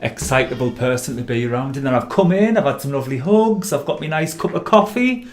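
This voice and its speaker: A young man with a Geordie accent, a singer guest in a radio studio, talking fast and giddily with frequent laughs. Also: mid-range voice, animated. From North East England. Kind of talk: excited chatty interview.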